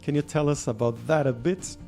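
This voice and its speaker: A man's voice: a little high, fairly quick, mellow, soft background music. A man hosting a casual interview over a video call. He talks with enthusiasm and often fills his speech with "uh" and "like". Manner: enthusiastic, many fillers like "uh" and "like". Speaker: interview host.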